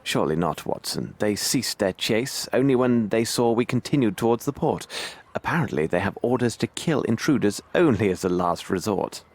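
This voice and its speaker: An actor playing a shrewd English gentleman detective in a video game, with a British accent and precise, courteous, commanding speech. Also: on the low side, expressive, normal speed. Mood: composed. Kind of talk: video game cutscene acting.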